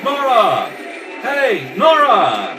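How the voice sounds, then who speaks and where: A man playing a breezy, chipper gentleman detective in a radio drama, jaunty and exclamatory. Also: very high-pitched, huge pitch swings, drawn-out words, recorded from a distance, a crowd in the background, background noise, pitched above the performer's own voice, full character voice. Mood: cheerful. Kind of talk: old-time radio drama acting. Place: indoors at a kitchen table.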